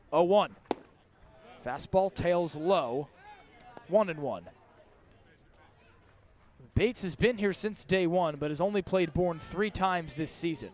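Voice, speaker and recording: A man doing live radio baseball play-by-play outdoors at a ballpark, in clipped, descriptive calls that rise with the action. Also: a little high. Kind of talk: live radio sports commentary.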